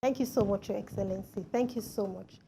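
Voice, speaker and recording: Woman, mellow tone, polite hesitant questioning, formal moderator, faint background noise. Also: medium pitch, brisk, creaky. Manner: polite, hesitant, frequent "you know".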